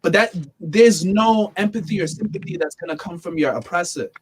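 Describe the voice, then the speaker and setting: A young man with a fairly high speaking voice hosts a casual podcast discussion. He talks in animated, emphatic bursts and often restarts and repeats words as he builds to a point. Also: fairly quick, a little hiss or hum. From United States. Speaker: podcast host.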